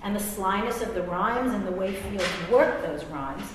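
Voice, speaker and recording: A woman's voice: mid-range voice, expressive, moderate pace. American woman, middle-aged, wry author at a live reading; very reverberant hall, faint noise. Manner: wry, self-deprecating, conversational. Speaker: author and English professor. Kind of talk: public reading of a personal essay, with asides.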